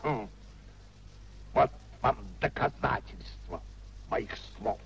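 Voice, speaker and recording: A man's voice: mid-range voice, takes it slowly, vocal fry, slight background noise. An actor in a 1950s Russian radio play as a proud, bitter older nobleman, ruined and raging at his wife in declamatory, indignant style. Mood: cold contempt. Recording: dull, muffled 1950s radio recording.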